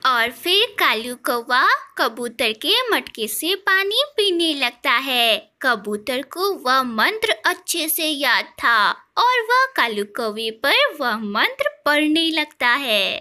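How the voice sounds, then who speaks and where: A woman narrates a children's story in a high, bright voice. Her delivery is unhurried and full of swooping intonation. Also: big pitch swings.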